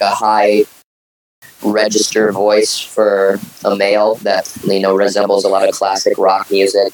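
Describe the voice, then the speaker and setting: A man who sings in a band, answering questions in a relaxed, halting way. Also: lowish voice, poor audio. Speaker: band singer, guest. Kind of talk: casual interview answers.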